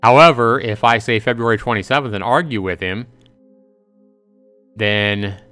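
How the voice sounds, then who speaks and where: A man's voice: mid-range voice, normal speed, bright, forceful tone, clean tone, dry recording, soft background music. A man giving casual live gameplay commentary, thinking aloud and repeating himself as he talks to his viewers. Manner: repetitive, thinking aloud, addressing chat viewers. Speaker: livestream host playing a visual novel.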